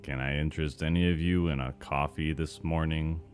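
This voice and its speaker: A man voicing a deadpan, moody, depressed cafeteria worker for an animated series, slow and glum in a deep voice. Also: faint music behind. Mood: glum, weary. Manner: slow, glum, flat delivery. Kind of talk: animated cartoon voice acting takes.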